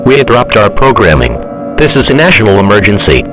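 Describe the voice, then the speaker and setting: A man's voice: on the low side, sing-song, fast, noisy background. A man delivering a scripted emergency broadcast announcement in a formal, official manner.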